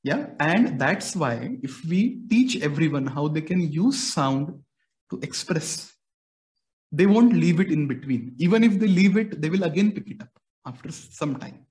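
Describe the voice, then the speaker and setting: A young man from India talks through his ideas in Indian-accented English, his first language being Marathi. His voice sits a bit higher than most men's. He explains patiently, like a teacher walking a student through everyday comparisons. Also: expressive, measured pace, a little mellow. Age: young adult. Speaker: music educator. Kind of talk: explanatory interview answers.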